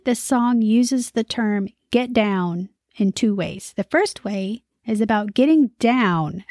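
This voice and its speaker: Upbeat woman podcast host, fairly high voice. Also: a little mellow, dry recording, studio quality. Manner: upbeat, conversational, lightly humorous.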